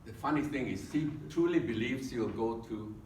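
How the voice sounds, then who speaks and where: A man with a Filipino accent giving a humorous prepared speech at a contest, joking in a dry way and pausing for laughs. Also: medium pitch, a little hiss or hum. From Philippines. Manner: deadpan joking with pauses for laughs. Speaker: contest speaker. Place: meeting hall. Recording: echoey room from a distance.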